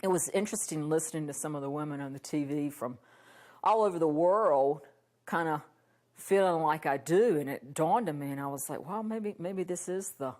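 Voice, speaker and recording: A woman's voice: low voice, normal speed, a little mellow. A middle-aged woman in an interview, talking casually and in a rambling way. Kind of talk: personal reflection.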